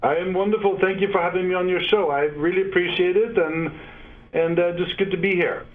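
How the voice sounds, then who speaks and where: A man, a guest on a video call who speaks haltingly with many fillers. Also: high-pitched, fairly even intonation. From Norway. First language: Norwegian. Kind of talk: interview over a video call. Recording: tinny internet call line.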